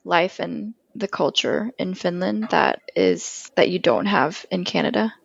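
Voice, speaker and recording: Interviewer: female podcast host, conversational and attentive, gravelly fry in the voice, slightly muffled audio. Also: on the low side, a little slow. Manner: attentive, frequent affirming responses. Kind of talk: casual interview.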